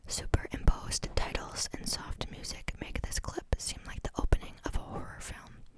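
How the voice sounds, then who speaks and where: A woman reading a book aloud in a soft, unvoiced whisper, steady but sometimes stumbling on a word and starting it again. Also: normal speed. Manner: reads steadily, stumbles and restarts on words. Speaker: reader.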